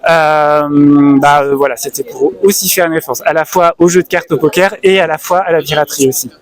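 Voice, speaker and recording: A man who illustrates books, chatting at a convention stand in a thoughtful way with frequent pauses and fillers. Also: a little high. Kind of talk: casual interview chat. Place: busy convention hall.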